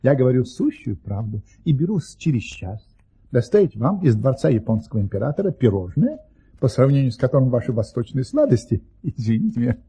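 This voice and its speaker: An actor playing a courteous, boastful, unflappable nobleman adventurer in an audio play, self-assured and courtly, with a husky, gravelly voice. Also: medium pitch, big pitch swings, brisk, dark tone, heavily acted. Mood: boastfully assured.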